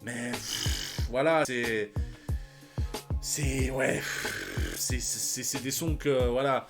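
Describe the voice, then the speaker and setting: A man's voice: mid-range voice, very animated, extremely slow, creaky, music in the background. A man in his thirties giving casual reaction commentary, talking in slang with lots of sighs, fillers and restarts. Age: 30s. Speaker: reaction channel host.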